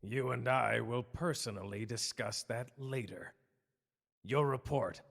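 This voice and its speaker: Guy doing a creepy-cold old boss in a roleplay drama, heavy fry, curt and scornful, echoing in a big hall. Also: medium pitch, measured pace, fairly bright tone, exaggerated voice. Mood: cold, disdainful. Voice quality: gravelly. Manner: curt, condescending, formal, commanding. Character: cold, stern, scheming older male superior of sorcerers. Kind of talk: dramatic ASMR character roleplay. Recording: long cavernous hall reverb.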